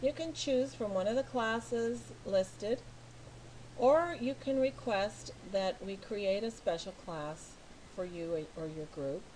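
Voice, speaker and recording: A middle-aged American woman from California, a teacher talking slowly and patiently through each step of filling in a form online. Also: medium pitch, darkish tone, a little hiss or hum, rough recording. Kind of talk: screen walkthrough tutorial.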